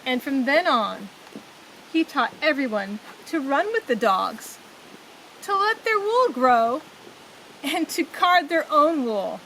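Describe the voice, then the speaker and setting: A woman with a high voice tells a children's story. She reads expressively as a storyteller. Faint background noise and animal sounds can be heard. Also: very animated, slow, fairly bright tone, poor audio.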